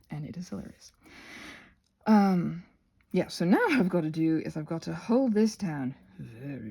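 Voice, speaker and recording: A woman hosting a casual craft tutorial, thinking aloud in a scattered, self-interrupting way with playful, expressive exclamations. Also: fairly low pitch, gravelly, husky. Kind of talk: rambling casual craft narration.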